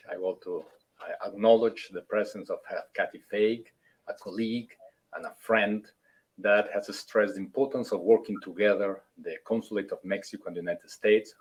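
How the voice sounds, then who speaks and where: A man, a consulate official, giving formal opening remarks at a webinar over a video call, halting and full of fillers. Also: fairly low pitch, a little mellow, husky. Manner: halting, many fillers and restarts, formal phrasing.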